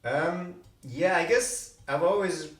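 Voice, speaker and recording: A man's voice: high voice, slow pace. A man from Iran, a guest comedian chatting casually on a podcast, self-deprecating and loose, with frequent "like" and "right?". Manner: laid-back, self-deprecating, lots of "like" and "right?". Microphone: room mic away from speaker. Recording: roomy, distant mic.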